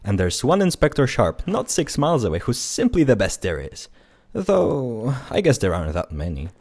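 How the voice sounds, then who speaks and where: A man's voice: mid-range voice, wildly swooping pitch, normal speed, darkish tone. A man playing a dry, sarcastic spirit guide to the dead in an audio drama. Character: wry male spirit guide to the afterlife.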